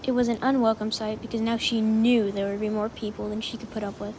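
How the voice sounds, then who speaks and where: Woman reading a story, pretty creaky voice, scripted narration, slight noise in the recording. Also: on the high side, little pitch movement, fast talker, darkish tone.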